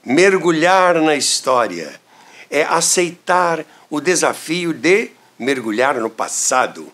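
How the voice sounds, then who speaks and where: A Brazilian man narrates as a show host with highly animated intonation and a slightly high, husky voice. His phrasing is deliberate and dramatic, like a written script delivered rhetorically. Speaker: host of a radio history show. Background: music.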